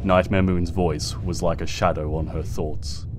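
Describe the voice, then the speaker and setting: A man's voice: deep voice, level tone, unhurried, noisy background. A man reading fiction aloud as a narrator, in a dramatic scripted storytelling style. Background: ambient sound effects.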